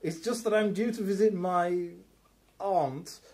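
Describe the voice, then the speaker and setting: Man doing a high, fussy gentleman. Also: unhurried. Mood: fretful. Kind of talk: steampunk radio play acting.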